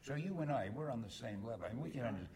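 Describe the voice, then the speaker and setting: A man's voice: mid-range voice, animated, racing, a crowd in the background. Teasing male talk show host, audience noise. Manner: teasing, wisecracking. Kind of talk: joking talk show banter.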